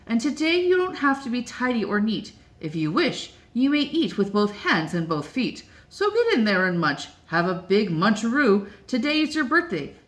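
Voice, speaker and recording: A woman voicing an excitable, bossy birthday bird that talks in bouncy exclamations. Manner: bouncy, exclaiming, high swoops. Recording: roomy echo.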